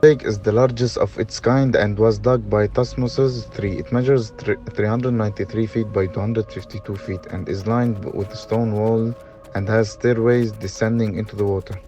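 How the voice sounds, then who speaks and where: A man reading scripted documentary narration in a halting way, with stumbles, on a dull lo-fi recording. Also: on the low side, steady tone, warm tone, faint music behind. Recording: dull, lo-fi sound.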